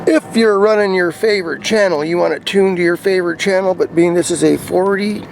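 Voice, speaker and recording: A man's voice: high-pitched, fairly quick, gravelly, a little hiss or hum, low-quality recording. A man giving a casual hands-on vlog demonstration, rambling and thinking aloud, in and around a parked truck. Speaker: vlogger demonstrating CB radio antennas.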